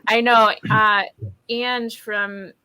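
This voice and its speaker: A woman's voice: on the high side, little pitch movement, extremely slow, pushed and bright, smooth. A woman in her thirties hosting a casual book live stream over a video call, chatting in an enthusiastic, informal way. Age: 30s. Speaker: host of a book readalong live show.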